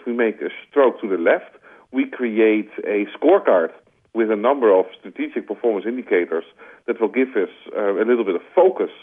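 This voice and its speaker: A Dutch-accented man, speaking at an ordinary mid pitch, talks through his ideas in a relaxed, conversational way, often dropping in "like" and reaching for homely comparisons. His voice comes through a thin, tinny phone line. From Netherlands. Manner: conversational, uses "like" as a filler, makes his points with vivid analogies. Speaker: interview guest, corporate strategy executive. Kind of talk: explanatory interview answers. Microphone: telephone.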